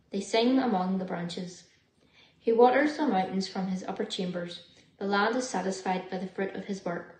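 A young woman reads from the Bible in a measured, plain way. Her voice sits at a medium pitch. The home recording has clear room echo. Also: darkish tone. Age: young adult. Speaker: scripture reader. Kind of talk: read-aloud Bible passage. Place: living room, seated indoors. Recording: roomy indoor home recording.

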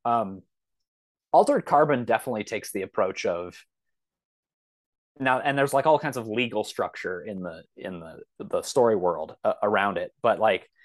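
A man in his thirties talking casually as a podcast guest, thinking aloud in a halting, thoughtful way. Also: lowish voice, dry recording.

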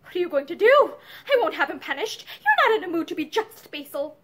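Woman acting an anguished, high-pitched pleading mother. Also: very animated, a little fast. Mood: indignant. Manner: breathless, emotional pleading. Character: anguished, protective young mother, pleading and indignant. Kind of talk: dramatic storybook reading.

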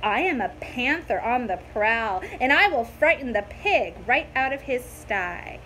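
A boastful little storybook kitten, put on by a woman reader, pitched high and very lively. It talks theatrically, with big exaggerated swoops, over faint room noise. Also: a little slow, full character voice. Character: little fierce kittens, boastful but easily startled. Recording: faintly noisy home recording.

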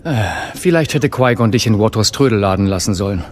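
A man's voice: fairly low pitch, lively intonation, brisk, darkish tone, natural voice. An actor playing a cautious, wry male warrior mentor in an audio drama, admonishing his pupil.

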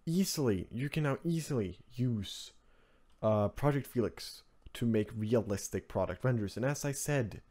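A man from Norway hosting a casual software tutorial in English as a second language, talking in a rambling, offhand way with informal asides. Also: medium pitch, animated, normal speed. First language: Norwegian. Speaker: tutorial host. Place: indoors at a computer, screen recording voice-over.

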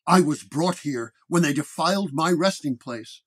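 A man's voice: a little high, moderate pace, lightly acted. A man voicing the proud, angry ghost of a warrior in solemn, formal declarations for a radio drama.

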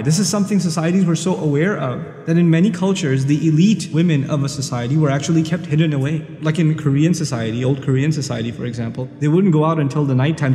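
A man's voice: on the high side, dark tone. A man giving an earnest religious talk, explaining scripture and reciting Arabic verses. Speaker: Islamic lecturer. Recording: roomy hall with long reverb.

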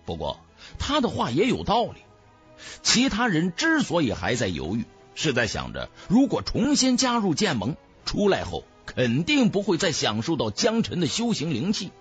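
A man's voice: on the high side, wildly swooping pitch, moderate pace, husky. A man narrating a fantasy audiobook in a dramatic, scripted storytelling style. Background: background music.

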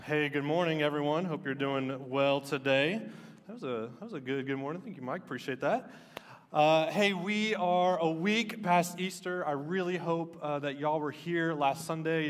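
A man in his thirties giving a relaxed, self-deprecating sermon from a church stage, with lots of filler words. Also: a little high. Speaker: student minister. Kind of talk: casual sermon. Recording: long reverb of a church hall.

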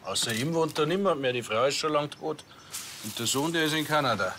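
Curt neighbour in his sixties, indifferent, slightly high voice, TV drama, noisy outdoors. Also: a little fast, pushed and bright. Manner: terse. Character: curt, indifferent grey-haired male neighbour, early sixties.

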